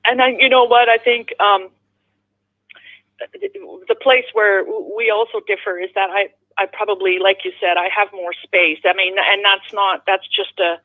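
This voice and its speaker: A woman's voice: mid-range voice, steady tone, moderate pace. Phone-line sound, thin and tinny, woman caller, middle-aged or older, chatty, rambling, polite. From Canada, born in the Netherlands. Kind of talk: casual chat. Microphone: telephone.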